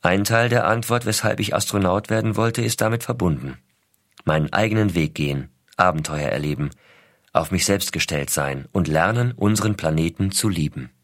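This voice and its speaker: A man plays an astronaut father narrating letters to his small son. His low voice is measured, reflective and affectionate, recorded dry and close. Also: no echo. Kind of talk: scripted first-person letter narration.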